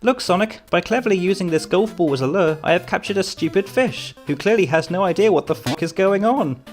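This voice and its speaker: A man's voice: sits high, expressive, brisk, faint music behind, voice pushed up. A man voicing a cocky, pompous cartoon hedgehog hero, declaiming verbosely in a comic book dub. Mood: grandiose.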